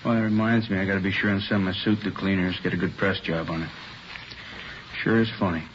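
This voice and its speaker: Flat-toned, grumbling middle-aged policeman played by a man. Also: low voice, a little fast, natural voice. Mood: wry, resigned. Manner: terse, folksy, drops words. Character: grumbling, good-natured middle-aged policeman and father of the bride. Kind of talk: 1950s radio drama acting. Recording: muffled, slightly noisy old radio recording.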